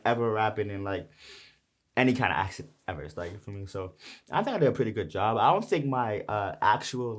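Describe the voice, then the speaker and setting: Laid-back young Brooklyn man, lowish voice. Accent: New York. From Brooklyn, New York. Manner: laid-back, rambling, slang-heavy. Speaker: rapper explaining his song. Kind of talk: casual lyric breakdown.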